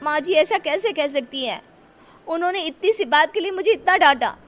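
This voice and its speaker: A woman's voice: sits high, animated, moderate pace, a bit bright, soft background music, voice pushed up. A woman voicing a curious, stubborn young kinnar girl. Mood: upset. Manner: questioning, stubborn.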